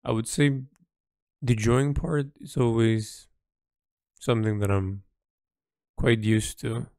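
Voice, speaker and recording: A man recording a reflective, unscripted audio diary as an artist, speaking in a halting, thoughtful way with pauses mid-phrase. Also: on the low side, a little mellow, clear, resonant tone, dry recording, clean studio sound. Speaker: artist keeping an audio log.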